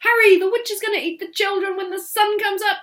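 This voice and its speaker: A woman voicing a small brave mouse who shouts urgently for help. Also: very high voice, fairly even intonation, a little fast, voice pushed up.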